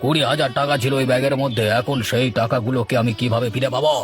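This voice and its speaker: A man's voice: mid-range voice, fairly even intonation, rapid-fire, close to an everyday voice. A man acts a stern male village master in a cartoon. His mid-range voice scolds and threatens, with faint music behind. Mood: stern, scolding. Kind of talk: cartoon dialogue.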